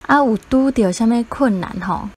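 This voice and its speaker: A woman's voice: fairly high pitch, moderate pace, slightly rough. A woman with a Taiwanese accent, sharing her experience as a parent in a casual interview.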